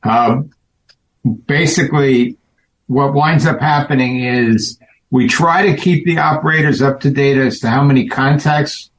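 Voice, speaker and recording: A man with a middle-pitched voice explains things plainly and step by step. His voice sounds roomy, with a clear echo around it. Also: measured pace. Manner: matter-of-fact, explanatory. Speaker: guest, event co-organizer. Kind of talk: casual chat. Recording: echoey room.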